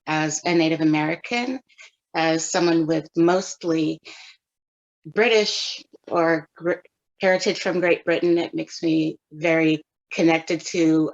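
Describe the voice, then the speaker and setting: A middle-aged woman from California, a photographer answering interview questions in a thoughtful, measured way with pauses. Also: deep voice, gravelly, muffled recording. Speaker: guest photographer.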